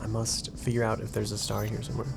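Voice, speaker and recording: Low, nasal man chatting over game music. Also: completely flat delivery, vocal fry. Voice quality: somewhat nasal. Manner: fast talker who stumbles over words; low-key, lots of "like" fillers. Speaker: streamer playing a puzzle game. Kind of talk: casual gaming commentary, reading game text aloud. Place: home room with a desk.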